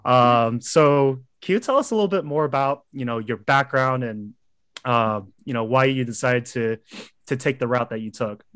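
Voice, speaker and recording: A man's voice: mid-range voice, normal speed, a bit bright, clean tone, dry recording. Male podcast host, casual and upbeat, dry close mic. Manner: upbeat, friendly, fillers. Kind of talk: podcast interview.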